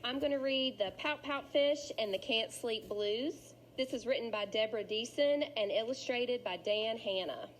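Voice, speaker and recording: A woman reading a children's picture book aloud like a school teacher, in an expressive, rhythmic storybook delivery. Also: fairly high pitch, normal speed, few pauses, a little hiss or hum, low-quality recording.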